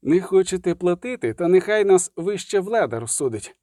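A man's voice: sits high, big pitch swings, normal speed, dark tone, no echo, studio quality, voice pushed up. A man voicing a stubborn, aggrieved villager in a fantasy audiobook, rustic, haggling and insistent.

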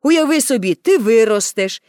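A woman's voice: fairly high pitch, animated, moderate pace, a little mellow, no echo, studio quality, lightly acted. A woman voicing a patient, insistent policeman in a storybook, reasoning with mild condescension. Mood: patiently insistent.

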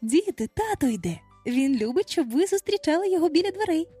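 A woman's voice: high voice, sing-song, fairly quick, mellow, soft background music, pitched above the performer's own voice. A woman voicing a motherly young girl in a storybook, fond but half-cross, scolding in mock reproach.

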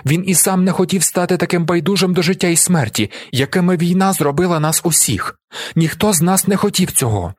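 A man's voice: sits high, lively intonation, a little fast, dry recording, studio quality, pitched above the performer's own voice. A man voicing an impassioned young war veteran pleading for a comrade in a dramatized audiobook, earnest and declamatory. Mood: impassioned, bitter.

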